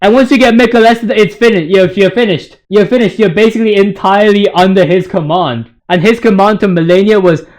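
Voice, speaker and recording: High-pitched young man, slangy gaming commentator. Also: fairly quick, hardly any pauses. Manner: slangy, excitable, hyperbolic rambling. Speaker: video creator, commentator. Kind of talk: informal video game commentary.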